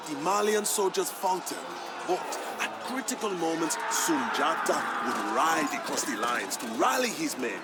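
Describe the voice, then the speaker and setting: An actor playing a proud royal griot, narrating a strategy game's campaign story in a grand, measured and emphatic manner. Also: male voice, a little high. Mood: intense, dramatic. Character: proud male griot, a king's humble family historian, solemn storyteller. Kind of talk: dramatic scripted narration for a strategy game campaign. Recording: music behind the voice. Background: background music.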